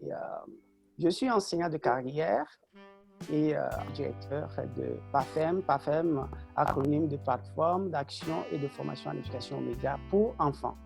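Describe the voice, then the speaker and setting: A man, speaking formally and with measured delivery as he presents in a webinar. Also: a little high, creaky, a little mellow, soft background music. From Togo. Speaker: panelist, teacher and director of a media education organization.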